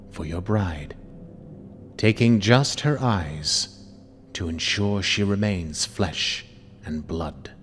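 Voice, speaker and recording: Slow, low-voiced man reading horror in reverb. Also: slight background noise. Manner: theatrical, menacing, deliberate, dropping into whispers. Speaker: narrator. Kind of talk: dramatic horror reading, second-person narration. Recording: long reverb over music. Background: music.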